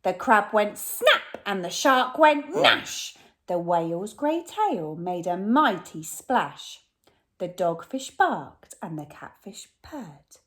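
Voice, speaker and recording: A woman teacher reading a rhyming picture book aloud to children, animated, sometimes dropping to a whisper. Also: mid-range voice, slow pace.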